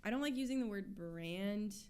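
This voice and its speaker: Young woman, 21, rambly chatty band member in a radio studio, smooth clear voice. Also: mid-range voice, expressive, normal speed, darkish tone. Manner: chatty, many fillers, giggly. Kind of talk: casual interview chat.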